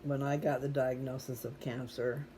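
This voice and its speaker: A mellow, relaxed voice with a folksy way of talking to viewers. The host rambles casually from one aside to the next, over a faintly noisy home-style recording. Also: mid-range voice, normal speed. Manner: chatty, folksy, digressive. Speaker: host reading scripture aloud for viewers.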